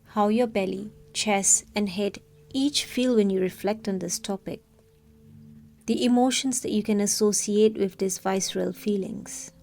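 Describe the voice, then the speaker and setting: Female meditation guide: soft, warm, relaxed timbre, mid pitch, calm scripted delivery with long pauses. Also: creaky.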